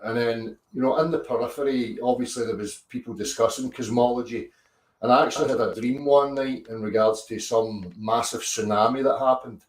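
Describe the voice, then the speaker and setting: Soft-spoken man on a distant, echoey call mic. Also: medium pitch, fairly even intonation, a little fast. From Scotland. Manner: soft-spoken, reserved, many restarts. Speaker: interview guest. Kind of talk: hesitant interview answers. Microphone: remote call mic.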